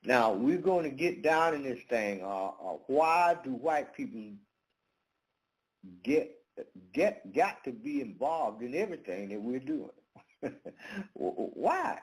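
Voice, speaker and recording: A man talks straight to his audience in an unscripted monologue. He stalls on filler sounds and restarts his sentences often, at a middling pitch. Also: big pitch swings, low-quality recording. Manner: halting, many fillers and restarts. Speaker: channel host. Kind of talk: casual monologue to camera.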